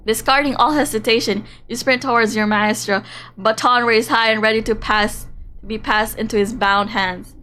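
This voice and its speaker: A woman's voice: on the high side, fairly even intonation, normal speed, bright, forceful tone. A woman streamer reading a visual novel aloud in a playful, dramatic way, breaking off for casual asides. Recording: music behind the voice. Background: game background music.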